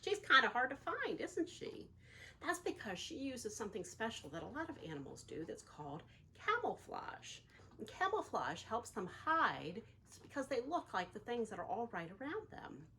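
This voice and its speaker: A woman reading a picture book aloud for young children, with expressive, deliberate phrasing, as a library storytime reader. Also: a little high, slight background noise.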